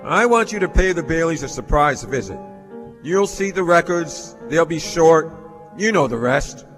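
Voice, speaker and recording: A man's voice: fairly high pitch, expressive, moderate pace, fairly bright tone. An actor playing a greedy, domineering businessman in a stage radio play, with a smug, cutting, commanding manner. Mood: menacing, scheming. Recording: reverberant theatre hall, music in recording.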